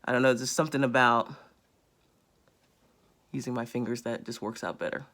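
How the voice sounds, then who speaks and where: A woman in her thirties with a raspy voice, chatting casually while she demonstrates a beauty routine close to a phone camera indoors. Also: extremely low pitch, steady tone, normal speed, fairly bright tone. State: raspy after illness. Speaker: beauty vlogger. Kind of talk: casual how-to demo. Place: small indoor room.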